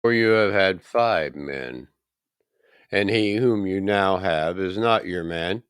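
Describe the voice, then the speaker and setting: A deep-voiced, authoritative holy teacher, read by a man in a scripture narration. He makes solemn pronouncements very slowly, with weighty emphasis on key words. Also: a bit bright, clean tone, dry recording, clean studio sound.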